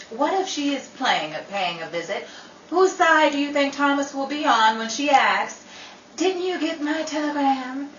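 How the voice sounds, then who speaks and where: A woman's voice: fairly high pitch, a bit bright. A woman playing a worldly, melodramatic, bossy but loyal friend in a 1930s-style radio drama, with a wry, commanding delivery. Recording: dull, roomy, distant, with faint noise.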